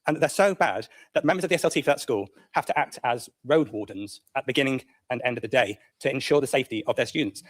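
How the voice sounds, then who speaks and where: Quick-talking male councillor. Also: mid-range voice. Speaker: local councillor. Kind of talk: informal political speech.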